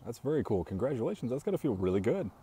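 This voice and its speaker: A young man hosting a casual live stream, talking in a calm, even monotone, slow and reassuring toward his viewers. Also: medium pitch, breathy edge. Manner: speaks slowly and reassuringly to viewers.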